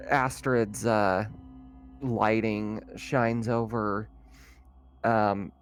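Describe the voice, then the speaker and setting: Slow, low-voiced male game master. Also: fairly even intonation, soft background music. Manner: halting, improvised scene-setting. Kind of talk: narrating a tabletop roleplaying game.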